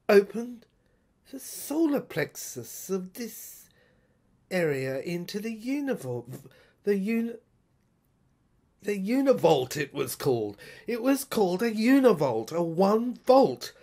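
A woman narrating a dramatic diary monologue in a halting, repetitive, theatrical way. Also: medium pitch, big pitch swings, dark tone. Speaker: narrator of an audio screenplay. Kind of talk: dramatic first-person monologue, diary reminiscence.

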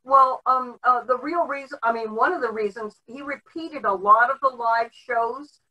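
A middle-aged woman explaining background informally, haltingly, with many filled pauses, on a video call. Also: on the high side, sharp tone. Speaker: panelist giving background on old TV history. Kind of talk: informal explanatory podcast talk. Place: home room, indoors, on video call. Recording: distant mic on video call.

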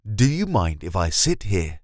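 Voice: deep voice, very animated, a little slow, dry recording, studio quality. A speaker reading short English translations of phrases for a language course.

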